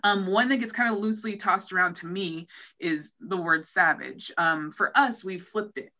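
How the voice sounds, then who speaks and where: Bright-voiced woman podcast host. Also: on the low side, moderate pace. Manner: animated, acts out her own past thoughts. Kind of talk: casual interview chat. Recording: dull lo-fi audio.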